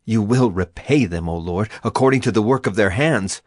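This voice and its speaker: An actor playing a grieving, afflicted prophet in a dramatized scripture reading, with solemn, emphatic and deliberate phrasing. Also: male voice, lowish voice, darkish tone, no echo, clean studio sound, close to an everyday voice. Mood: pleading. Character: grieving, afflicted male prophet lamenting and praying.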